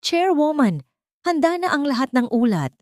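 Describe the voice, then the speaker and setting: A woman's voice: sits high, sing-song, moderate pace, darkish tone, no echo, studio quality, voice pushed up. A woman voicing a loyal male lawyer who reports to his boss in a formal, assured manner for an audio drama. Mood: respectful.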